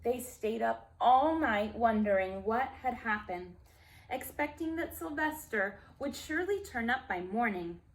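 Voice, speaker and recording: A woman reads a story aloud for young children. Her voice is fairly high and she goes slowly, with deliberate emphasis and simple asides to the listeners. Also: animated, poor audio.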